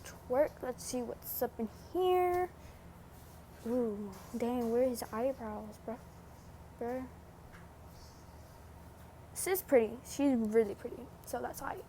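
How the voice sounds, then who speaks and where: High-pitched young woman, slangy gamer chat. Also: slow pace, pauses often, mellow, slight background noise. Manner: slangy, sweary, teasing. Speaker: gaming vlogger. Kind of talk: casual gameplay commentary.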